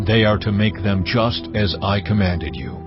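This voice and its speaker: An actor playing the Lord God as a solemn, stern, commanding deity in a dramatized scripture reading, measured and deliberate. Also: male voice, lowish voice, expressive. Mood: solemn, instructing. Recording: dull band-limited sound with music behind. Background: background music.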